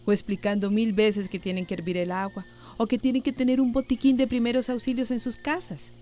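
Earnest village mother with a warm voice woman acting. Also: fairly high pitch, level tone, brisk, lightly acted. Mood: earnest, anguished. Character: earnest, worried village mother and health worker woman, loyal. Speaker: actor in a radio drama. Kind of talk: radio soap opera acting.

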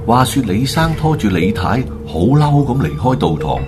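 A man's voice: on the low side, very animated, normal speed, music in the background. A man narrating a radio drama in a dramatic storytelling style.

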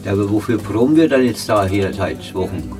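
Lowish male voice in casual talk. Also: animated, brisk, distant mic, music in the background. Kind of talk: casual band discussion.

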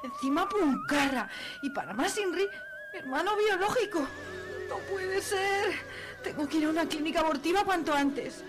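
A woman's voice: extremely high pitch, big pitch swings, moderate pace, over music. A woman playing a melodramatic, anguished posh teenage girl in a radio soap, with an accent from Spain. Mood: distraught. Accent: Spanish from Spain. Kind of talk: amateur radio soap acting.

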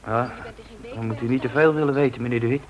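A man's voice: mid-range voice, steady tone, quick pace, dull audio, close to an everyday voice. An actor playing a deferential, hesitant young errand boy in a radio play. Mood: uneasy. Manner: hesitant, respectful. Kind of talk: Dutch radio play acting.

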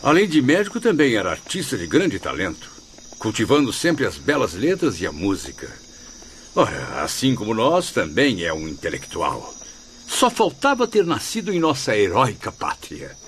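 A man's voice: lowish voice, huge pitch swings, normal speed, fairly bright tone, a little hiss or hum, exaggerated voice. An actor in a radio drama playing the spirit of a proud Portuguese male novelist, florid, formal and theatrical. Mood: grandiose.

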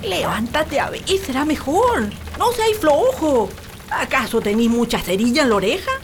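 A woman's voice: high-pitched, big pitch swings, normal speed, a little hiss or hum. An actress playing a bossy, nagging rural wife and doting mother in a comic radio drama, with a Chilean accent. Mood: scolding. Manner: scolding, exaggerated, colloquial.

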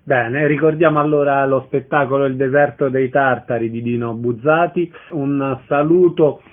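A man's voice: mid-range voice, fairly even intonation, normal speed. A man hosting radio interviews and putting questions to guests. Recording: narrow-band tinny radio sound.

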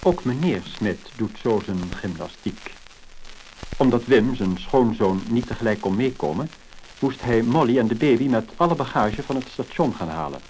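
A faintly crackling mid-century record features a man narrating in Netherlands Dutch. His voice is fairly low, and his scripted delivery is formal and measured. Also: a little mellow. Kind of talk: 1950s scripted documentary-style narration.

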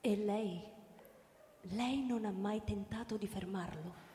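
Actress as slow, mellow woman, echoing questioner. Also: mid-range voice, close to an everyday voice. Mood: quiet reproach. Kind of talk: radio drama acting. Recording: echoey hall with long reverb.

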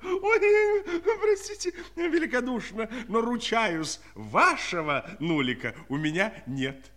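A man playing a fussy, excitable institute director in a children's audio play, politely hesitant in his talk. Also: sits high, takes it slowly, heavily acted. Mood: amused, excited. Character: fussy male director of a research institute of numbers.

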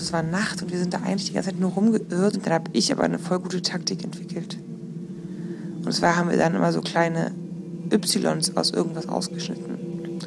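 Woman, lowish pitch, heavy creak, casual halting diary-style talk, informal and unscripted. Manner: halting, informal, thinking aloud. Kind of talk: casual spoken audio diary, recounting dreams. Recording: noisy informal recording with ambient sound.

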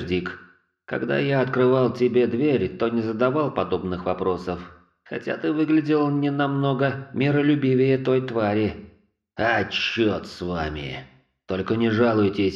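An actor playing a blunt, mocking American cop of about forty in an audiobook. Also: male voice, lowish voice, measured pace, dry recording, lo-fi sound. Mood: grudging. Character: gruff bald American cop around forty. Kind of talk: audiobook character voice.

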